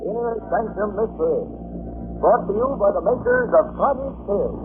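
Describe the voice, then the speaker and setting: A man's voice: sits high, expressive, normal speed, over music. Male announcer, formal projected sponsor read, old-time radio, narrow muffled sound. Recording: muffled, noisy 1940s radio recording.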